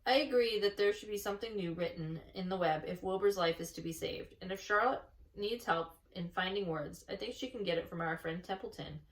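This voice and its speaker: A woman's voice: mid-range voice, a little fast, natural voice. A woman voicing a blunt, persuasive old sheep, lecturing firmly in a storybook reading. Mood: reasoned, scheming. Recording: echoey room, distant mic.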